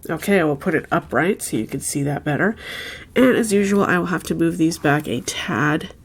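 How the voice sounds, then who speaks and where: A woman's voice: sits low, lively intonation, moderate pace, slightly rough. Congested, nasal woman reading tarot. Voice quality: nasal, stuffed up. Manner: chatty, often checks in with "okay?". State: congested with a head cold. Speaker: tarot reader. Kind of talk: casual weekly tarot reading.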